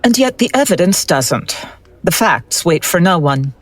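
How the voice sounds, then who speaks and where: Woman playing a sardonic forensic analyst, lively intonation. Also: fairly low pitch, a little slow, dry recording. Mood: dry, sardonic. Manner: deadpan, sardonic. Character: skeptical, dry female forensic analyst, exacting. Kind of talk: audio drama acting.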